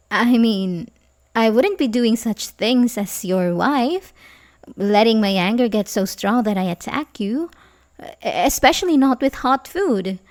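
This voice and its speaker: A woman's voice: fairly high pitch, a little mellow. A woman playing an obsessive, manipulative young babysitter who feigns sympathy, in an intimate, hesitant ASMR roleplay style. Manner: hesitant, stammering, nervous chuckles, sighs. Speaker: audio roleplay performer.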